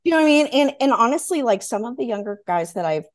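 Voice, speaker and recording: A woman's voice: a little high, sing-song, fast talker. A woman in her forties hosting a podcast in a casual, candid, emphatic and informal way. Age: 40s. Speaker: podcast host. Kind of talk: casual chat.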